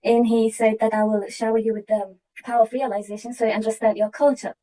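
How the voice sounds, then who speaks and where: Earnest woman, slightly high. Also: little pitch movement, mellow, distant mic. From Iraq. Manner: earnest, halting, with restarts. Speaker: program participant sharing her story. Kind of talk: personal testimony.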